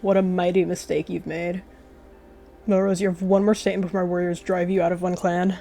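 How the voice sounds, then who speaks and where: A woman's voice: lowish voice, little pitch movement, a little fast, creaky, warm tone, faint music behind. Betrayed male cat chieftain, performed by a woman: stern, grave, for a game roleplay. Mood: grave, angry. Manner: grave, hissing, sighing.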